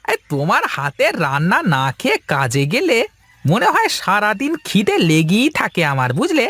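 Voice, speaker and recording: A man's voice: high voice, huge pitch swings, moderate pace, a bit bright, no echo, exaggerated voice. A man voicing an easygoing village husband in a cartoon family story, with an exclamatory, coaxing manner. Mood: cheerful, flattering. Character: easygoing village husband who placates his nagging wife.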